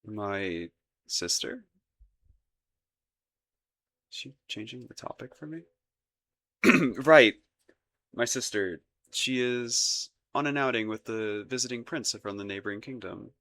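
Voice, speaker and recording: A man's voice: mid-range voice, takes it slowly, long pauses, bright, forceful tone. Man acting a flustered, lovestruck young crown prince. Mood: awkward, bashful. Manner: stammering, nervous, rambling, formal courtly wording. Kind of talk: romantic ASMR-style audio roleplay.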